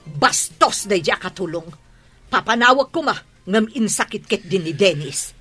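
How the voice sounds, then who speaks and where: A woman's voice: medium pitch, expressive, moderate pace, fairly bright tone, husky, faint music behind. An actress with a Filipino Ilocano accent playing a haughty, domineering mother and mistress of the house in a radio drama, scolding and imperious. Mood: irritated, scolding.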